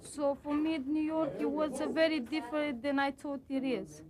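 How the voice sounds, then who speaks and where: Hesitant teenage girl from Romania, high voice. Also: crowd noise. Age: teens. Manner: halting, searching for words, with fillers. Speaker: student. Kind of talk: describing her class project.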